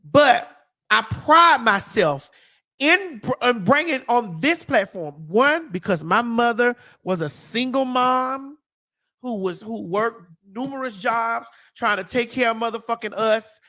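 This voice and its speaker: A talk-show host ranting in heated, profane, emphatic casual talk. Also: female voice, on the high side, expressive, unhurried, a bit bright.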